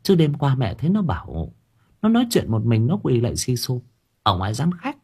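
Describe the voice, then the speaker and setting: A man's voice: medium pitch, very animated, measured pace, a little mellow. A man voicing a worried older mother-in-law who scolds and coaxes. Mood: hushed. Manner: scolding, fussing, coaxing.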